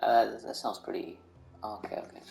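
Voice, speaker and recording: A man giving casual, halting game commentary, often repeating himself. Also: fairly low pitch, steady tone, vocal fry, darkish tone, poor audio. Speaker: gaming streamer.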